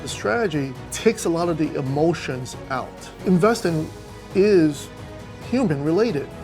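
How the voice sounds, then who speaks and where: A man's voice: fairly high pitch, expressive, a little slow, over music. Plainspoken New man in his fifties. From New York City. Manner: candid, plainspoken, emphatic. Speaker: fund manager guest. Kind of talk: conversational interview monologue.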